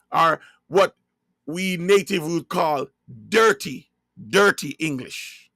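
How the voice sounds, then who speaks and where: Jamaican man, high voice, gravelly, emphatic preaching, dry sound. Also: fairly bright tone, dry recording. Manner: emphatic, repeats and spells out key words. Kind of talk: slow sermon-style monologue.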